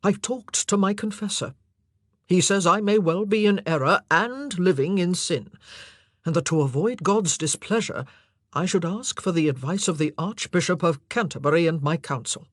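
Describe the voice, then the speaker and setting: A narrator voicing an anguished, impassioned and forceful male monarch in an audiobook drama. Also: mid-range voice, moderate pace, dry recording, studio quality, lightly acted. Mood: tormented.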